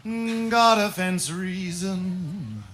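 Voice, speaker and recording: A man's voice: high voice, lively intonation, drawn-out words, a bit bright, slight background noise. A man emceeing at a music festival, making grand sing-song proclamations to the crowd over a stage microphone. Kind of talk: theatrical stage announcing.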